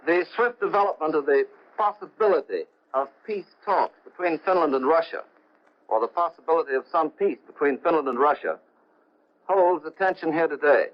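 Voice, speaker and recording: Dull-sounding 1940s male radio correspondent, higher-pitched. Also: animated, normal speed. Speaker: overseas radio news correspondent. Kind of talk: 1940s radio news report. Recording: dull, lo-fi 1940 shortwave radio broadcast.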